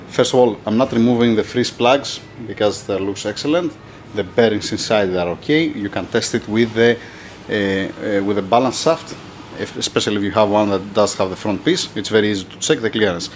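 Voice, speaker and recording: A man's voice: mid-range voice, a little hiss or hum. A man whose first language is Greek, filming his own engine rebuild in a workshop, thinking aloud as he goes. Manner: thinks aloud, restarts often, non-native phrasing. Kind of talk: casual workshop narration. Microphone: handheld camera.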